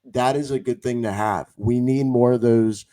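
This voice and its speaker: A man on a fan podcast with a hoarse voice, rambling in casual chat with many "you know" fillers. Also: medium pitch, steady tone, normal speed, warm tone. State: hoarse from yelling.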